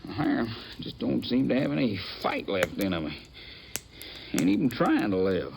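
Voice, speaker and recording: A man's voice: fairly low pitch, big pitch swings, moderate pace, vocal fry, a little mellow. An actor playing an earnest, flustered frontier townsman in a 1950s radio western, stumbling and repeating himself in folksy speech. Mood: agitated, concerned. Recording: noisy old radio recording.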